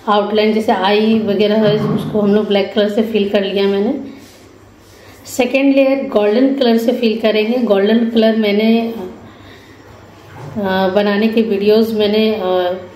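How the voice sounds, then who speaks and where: A woman's voice: a little high, dark tone. A woman giving an informal step-by-step craft tutorial as a voice-over, instructing viewers directly. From India. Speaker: rangoli artist giving a tutorial. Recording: roomy echo with faint background noise.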